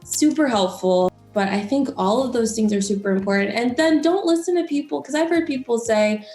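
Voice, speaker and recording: A woman's voice: fairly high pitch, a little fast, warm tone. Chatty young woman, echoey room. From Florida. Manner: chatty, encouraging, many fillers. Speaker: broadcast journalist and news anchor. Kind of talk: casual interview advice. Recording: roomy echo, faint music.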